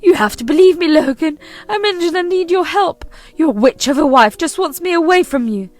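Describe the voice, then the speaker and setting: A whiny, manipulative mother-in-law who accuses loudly and plays the victim, put on by a woman reading a story. Her voice is pushed well above the reader's own, strained and loud. Her complaints come out melodramatic and shrill. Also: animated, moderate pace, faint music behind. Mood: shrill accusing.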